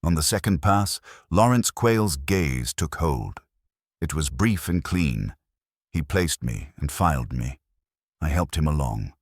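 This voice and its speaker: Very deep-voiced man narrating detective fiction. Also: animated, a little slow, husky, dry recording, studio quality. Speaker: audiobook narrator. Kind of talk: scripted first-person detective fiction narration.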